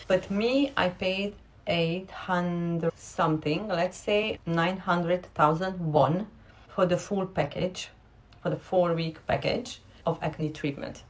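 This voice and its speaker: A young woman from Romania, a content creator, talking candidly and directly to her viewers in a casual vlog. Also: fairly low pitch.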